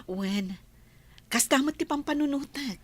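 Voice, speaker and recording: A woman's voice: high-pitched, expressive, measured pace. A woman acting as a kindly, appreciative lady of the house in an Ilocano radio drama, with an Ilocano accent. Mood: appreciative, concerned.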